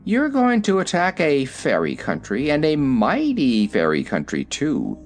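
A man voicing a wise old male gnome general who speaks calmly and lectures his king. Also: a little high, a little slow, faint music behind, full character voice. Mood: explaining. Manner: calm, coolly lecturing.

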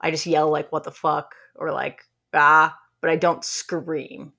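Low, creaky woman in casual chat. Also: flat delivery, measured pace, sharp tone. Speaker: podcast co-host.

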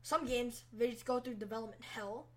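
Giggly high-voiced woman hosting. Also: a little hiss or hum, rough recording. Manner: giggly, teasing, quick topic changes. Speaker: podcast host. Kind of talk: casual group chat podcast. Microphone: online voice chat.

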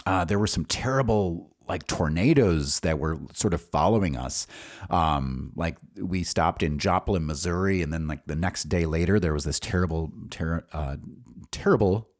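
A man with an American accent speaks in a low, deep voice as he hosts a personal podcast. He tells stories from his life in a loose, rambling monologue. His delivery is informal and halting, as if working through memories aloud. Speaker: podcast host. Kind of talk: casual personal storytelling, monologue.

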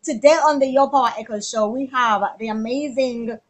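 Female show host, slightly high voice, effusive and upbeat. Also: moderate pace. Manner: gushing, effusive praise. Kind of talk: enthusiastic live interview.

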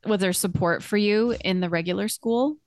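A woman's voice: medium pitch, no room sound. Woman co-host, dry close sound. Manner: quick, restarting questions mid-sentence. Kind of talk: casual podcast interview.

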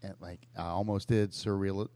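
A man co-hosting a podcast, talking in a low-key, conversational way. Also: flat delivery, normal speed, a crowd in the background. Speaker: podcast co-host. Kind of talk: casual podcast chat.

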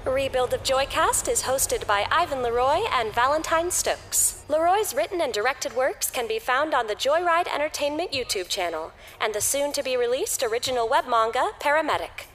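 High-voiced woman narrator in long reverb. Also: expressive, moderate pace, hardly any pauses, a bit bright. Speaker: podcast outro narrator. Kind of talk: scripted credits narration. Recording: long reverb over music.

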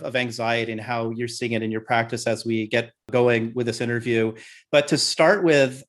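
Man, interview host, mid-pitched, conversational questions.

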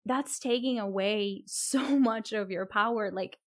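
A woman's voice: medium pitch, unhurried, no room sound. Reflective woman from Colombia, dry sound. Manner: warm, reflective, many fillers and restarts. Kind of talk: conversational interview.

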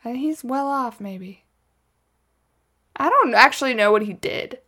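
A woman's voice: on the high side, expressive, measured pace, sharp tone. A woman telling a true crime story casually from her notes, as a podcast host, with chatty, wry asides.

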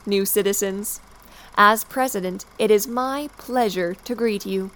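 An actress playing the composed president of a dystopian state, giving a polished, formal, measured address in an audio drama. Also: female voice, a little high, fairly bright tone. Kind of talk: formal scripted official address.

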